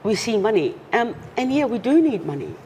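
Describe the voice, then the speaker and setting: A woman's voice: fairly high pitch, very animated, fairly quick, a little mellow. Earnest woman in a reverberant room. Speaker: guest. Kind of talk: casual interview talk. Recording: long reverb, faint noise.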